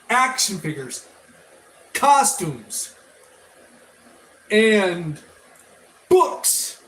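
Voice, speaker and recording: A man with a high-pitched voice talks through his opinions in a casual review vlog style. His delivery is slow and halting, with drawn-out words. He circles back to repeat and restart phrases as he rambles. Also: a bit bright, poor audio. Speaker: toy and media review vlogger.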